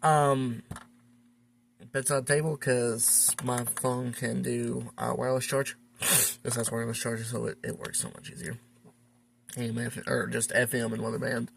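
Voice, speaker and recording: Man, gravelly fry, mid pitch, informal show-and-tell, matter-of-fact, faintly noisy home recording. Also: level tone, a little slow. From United States. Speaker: hobbyist collector showing a radio collection. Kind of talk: casual rambling show-and-tell. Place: indoors at home.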